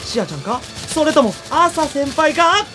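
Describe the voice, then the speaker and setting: A woman's voice: high-pitched, sing-song, normal speed, over loud music. A woman playing a gleeful schoolgirl announcer on an anime radio show, teasing, grandiose and mock-dramatic. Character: gleeful, theatrical schoolgirl broadcast club announcer. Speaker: contest announcer.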